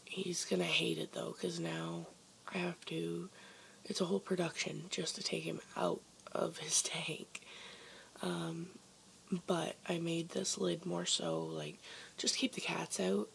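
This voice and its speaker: A woman vlogger with a hoarse voice, chatting casually and rambling with lots of ums from her basement bedroom. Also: lowish voice, expressive, unhurried, a little hiss or hum, rough recording. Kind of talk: casual chat to camera.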